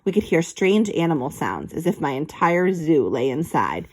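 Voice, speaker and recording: Animated woman reading a children's story. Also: low voice, moderate pace. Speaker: storybook reader. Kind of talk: expressive read-aloud narration of a children's book.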